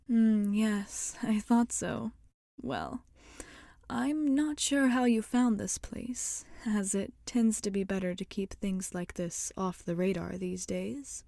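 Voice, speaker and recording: A woman voicing a wry, rebellious witch shopkeeper in a soft-spoken ASMR roleplay, often slipping into whispers. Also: on the high side, creaky, no room sound, clean studio sound. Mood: gently welcoming.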